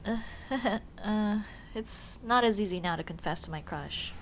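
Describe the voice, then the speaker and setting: A woman playing a young tomboy childhood friend in an ASMR roleplay, speaking in casual boyish slang with hesitant pauses. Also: medium pitch, slight background noise, lightly acted. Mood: flustered, hesitant. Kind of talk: ASMR roleplay, intimate one-sided dialogue.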